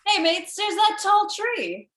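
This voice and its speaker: In a roomy, echoing recording, a woman plays an excitable pirate crewmate in a radio play. The delivery is slow but lively in pitch, with awed sea-dog dialect. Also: heavily acted. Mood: excited.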